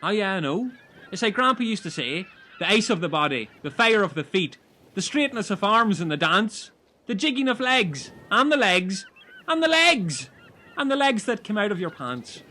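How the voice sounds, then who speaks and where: Man doing Jimmy, high bright show-off. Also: very animated, normal speed, exaggerated voice. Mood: rambling. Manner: rambling, silly boasts. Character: excitable show-off male islander Jimmy.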